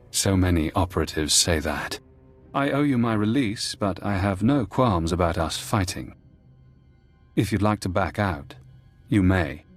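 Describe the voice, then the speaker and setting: A man playing a condescending, coolly menacing former spy in a video game, speaking in a measured, instructive way. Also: low voice, animated, faint music behind. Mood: condescending, calm. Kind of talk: video game cutscene acting.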